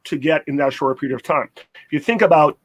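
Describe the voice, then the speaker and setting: A man's voice: fairly high pitch, brisk, breathy edge. A middle-aged man co-hosting, giving conversational advice and repeating phrases for emphasis. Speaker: co-host, basketball mentor.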